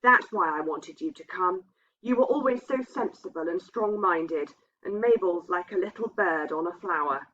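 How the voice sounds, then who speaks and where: A woman's voice: lowish voice, moderate pace, fairly bright tone, lightly acted. A woman voicing a troubled man in a ghost story, earnest and hesitant as he confides his dread. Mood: uneasy. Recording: dull, muffled lo-fi sound.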